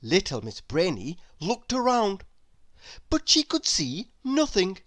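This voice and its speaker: Slow, animated woman reading a storybook. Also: mid-range voice, no echo. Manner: expressive, deliberate storytelling. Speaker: storybook reader. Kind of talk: scripted read-aloud narration of a children's story.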